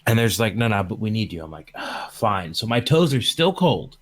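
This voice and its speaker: A man's voice: on the low side, sing-song, normal speed. A man hosting a livestream podcast over computer voice chat, chatting casually in a rambling, jokey way. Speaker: podcast host.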